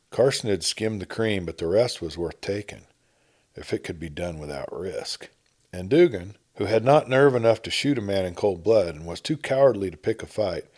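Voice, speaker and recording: A man reading an adventure story as a narrator, in a measured storytelling manner with dramatic emphasis. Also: on the low side, warm tone. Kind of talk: scripted dramatic audiobook narration.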